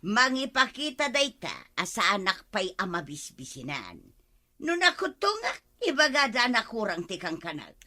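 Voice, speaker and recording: A woman with an Ilocano accent playing a nosy, suspicious village gossip in a radio comedy drama, animated and insistent. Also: medium pitch, normal speed, exaggerated voice. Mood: indignant.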